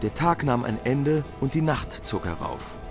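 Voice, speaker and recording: Calm male narrator of a medieval epic. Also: mid-range voice. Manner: calm, measured. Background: music.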